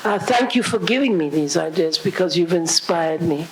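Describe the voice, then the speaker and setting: A woman in the audience asking a question, her voice going hoarse, pausing often and clearing her throat. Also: fairly low pitch, expressive, crowd noise, rough recording.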